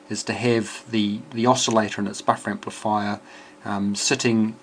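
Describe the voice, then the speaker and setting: Low-voiced man, halting technical explainer, noisy recording. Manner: hesitant, halting, thinking aloud. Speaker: amateur radio builder presenting a project. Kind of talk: informal technical walkthrough. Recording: clear steady background noise.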